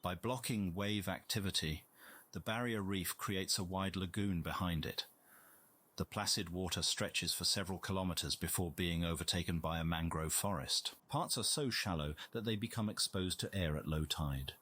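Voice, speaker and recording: A man reading a visual novel aloud as scripted narration, in a measured, documentary-style storytelling manner. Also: low voice, a bit bright. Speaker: video game playthrough host.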